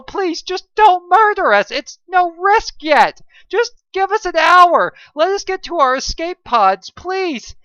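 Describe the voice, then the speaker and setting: A woman voicing a desperate young male lieutenant who shouts and begs frantically. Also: fairly high pitch, gravelly, clean tone, no room sound, highs cut off, pitched above the performer's own voice, full character voice.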